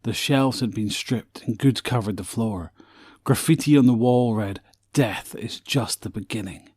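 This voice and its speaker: A man's voice: mid-range voice, a little slow, darkish tone, breathy edge, no echo, studio quality. A man reading scripted story narration for an audio drama.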